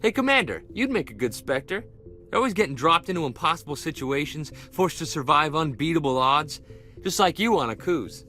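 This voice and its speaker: An actor playing an excitable young space marine in a video game, earnest and keen, deferring to his commander. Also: on the high side, fairly quick, faint music behind. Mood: eager, excited.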